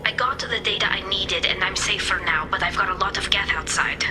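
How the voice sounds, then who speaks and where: An actress voicing an earnest young female quarian engineer who speaks softly and hushed, then turns eager, in a video game. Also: medium pitch, fairly quick, close to an everyday voice. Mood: urgent. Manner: soft, hushed and wondering, turning eager. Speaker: alien engineer ally. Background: game music.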